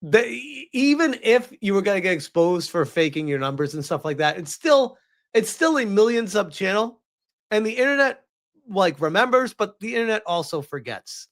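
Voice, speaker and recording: A man's voice: high voice, big pitch swings, normal speed, a bit bright. A man doing casual live reaction commentary in an incredulous, mocking and emphatic way.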